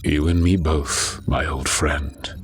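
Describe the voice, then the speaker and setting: A man acts out a weary veteran commander in a science-fiction audio drama. His voice is extremely deep and gruff. He is sardonic and contemptuous in clipped delivery, set over prominent music. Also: steady tone, slow. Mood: weary, fond.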